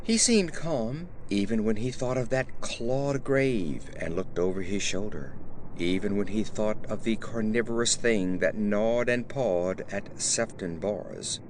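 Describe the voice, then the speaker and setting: A man narrating a horror story as a dramatic audiobook, in a measured, literary storytelling manner. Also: on the low side, a little hiss or hum.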